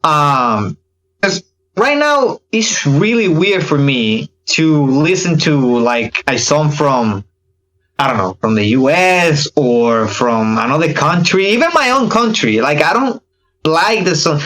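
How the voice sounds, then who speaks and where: Animated man with a higher-pitched voice. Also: takes it slowly, rough recording. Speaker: podcast guest, K-pop reaction video creator. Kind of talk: casual podcast chat.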